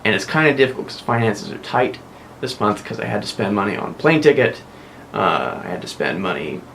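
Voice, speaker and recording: A man's voice: fairly low pitch, expressive, brisk, vocal fry, a bit bright, a little hiss or hum. A young American man hosting a casual weekly vlog, chatting and rambling with frequent self-corrections. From United States. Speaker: vlog host.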